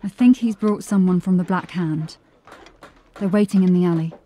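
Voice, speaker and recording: A woman playing a discreet, hesitant junior police officer in an audio drama, speaking in a hushed way. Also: lowish voice, moderate pace, a little hiss or hum, natural voice. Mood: hesitant, secretive.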